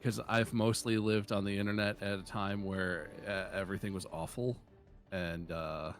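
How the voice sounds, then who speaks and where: A man with a lowish voice co-hosts a relaxed chat while gaming. He talks in a wry, teasing way. Faint music sits behind his voice. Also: normal speed.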